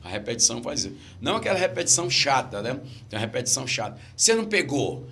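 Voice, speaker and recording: A man's voice: medium pitch, big pitch swings, racing, vocal fry, sharp tone, a little hiss or hum. A middle-aged man from Brazil, a pastor with a stammer, telling stories in casual podcast chat and repeating his key phrases.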